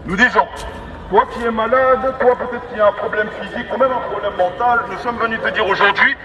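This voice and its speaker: A man preaching in the open air through a megaphone, declamatory and repetitive, with halting restarts. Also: sits high, bright, forceful tone, dull audio, slight background noise. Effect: megaphone amplification. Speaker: street evangelist. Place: outdoors in a busy urban public space. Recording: megaphone voice outdoors, distant, echoing, street bustle. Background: street crowd and vehicles.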